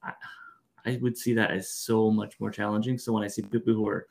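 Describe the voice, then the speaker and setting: A man with a low, gravelly voice co-hosts a casual podcast. He muses aloud and circles around his point, filling pauses with "like" and "um". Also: flat delivery, darkish tone. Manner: thoughtful, rambling with many fillers. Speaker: podcast co-host.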